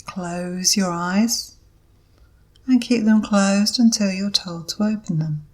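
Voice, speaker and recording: A woman leading a guided yoga nidra meditation as instructor, giving measured instructions in short phrases with pauses between them. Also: on the low side.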